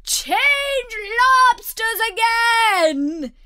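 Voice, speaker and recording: A woman voicing an excitable, bossy male Gryphon from a storybook, exclaiming and calling out instructions. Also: fairly high pitch, very slow, clean tone, no room sound, clean studio sound. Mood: wildly excited. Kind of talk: storybook character voice.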